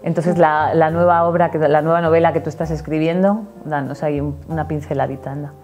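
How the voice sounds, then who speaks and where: Woman, middle-aged, Spain accent, somewhat low pitch, casual TV interviewer. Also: fairly even intonation, brisk, a little mellow, muffled recording, faint music behind. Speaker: TV host. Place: small TV studio set, indoors.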